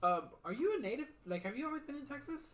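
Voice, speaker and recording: A woman podcast host with a lisp, chatting casually, giggly and quick to swear. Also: sits high, expressive. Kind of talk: casual chat.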